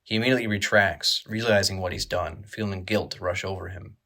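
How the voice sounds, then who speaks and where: A man narrating a horror audio drama with measured, dramatic, scripted storytelling. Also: sits low, steady tone, sharp tone.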